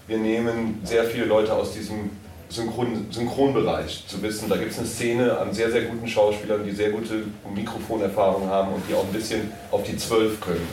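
A man's voice: on the low side, a little mellow. A man speaking as a producer on a panel, explaining things in an informal, conversational way, recorded at a distance in an echoey room. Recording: echoey room, distant mic, faint noise.

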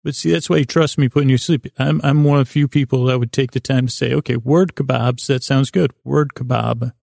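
A man hosting a sleep podcast, meandering through a halting, self-correcting bedtime monologue in a creaky voice. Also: medium pitch, steady tone, darkish tone, dry recording, clean studio sound.

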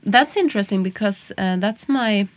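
A woman's voice: medium pitch, normal speed. Hesitant woman. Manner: hesitant, many ums and uhs, supportive. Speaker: interviewer. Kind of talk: interview conversation.

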